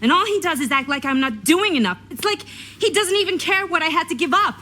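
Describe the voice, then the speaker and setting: An actress playing a worn-down young American big sister in a video game drama, talking in a wry, sardonic way. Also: female voice, very high-pitched, expressive, a little fast, bright, forceful tone, full character voice. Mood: upset, venting. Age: young adult.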